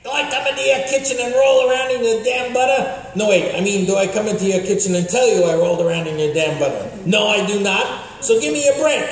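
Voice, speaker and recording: A man's voice: sits high, fast, few pauses. A man playing a pompous, wry announcer, narrating a comic radio serial in a theatrical style. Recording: very echoey hall, faint noise.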